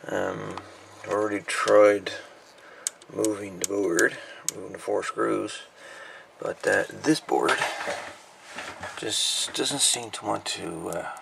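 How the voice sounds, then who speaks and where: A man giving a casual, unhurried, folksy hands-on repair narration, thinking aloud as he goes. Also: on the low side, creaky, a bit bright, slight background noise. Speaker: hobbyist radio repairer presenting a video.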